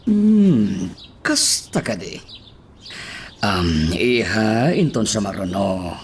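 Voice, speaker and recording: A man's voice: fairly low pitch, big pitch swings, drawn-out words, a little hiss or hum, heavily acted. A man with a Filipino accent playing a protective, concerned middle-aged father in a radio drama. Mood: concerned, admonishing.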